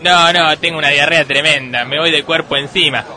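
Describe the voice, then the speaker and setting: An actor with an Argentine accent playing a hapless, embarrassed football coach in a comic radio sketch. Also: male voice, on the high side, steady tone, brisk, sharp tone.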